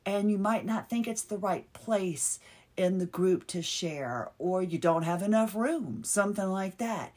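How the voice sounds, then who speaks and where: A woman hosting her own podcast, talking earnestly and haltingly, often restarting and repeating words. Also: lowish voice. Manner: earnest and heartfelt, with bursts of laughter. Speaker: podcast host. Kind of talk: casual solo podcast monologue.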